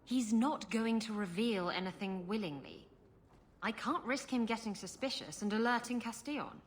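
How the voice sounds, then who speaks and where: A woman's voice: fairly high pitch, lively intonation, normal speed, natural voice. An actress playing a roguish, scheming adventurer woman in a video game, with a playful, theatrical manner.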